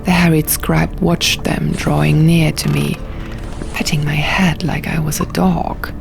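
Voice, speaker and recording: A woman narrating a fantasy audiobook in a hushed, measured, dramatic storytelling style. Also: low-pitched, level tone, creaky. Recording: music behind the voice.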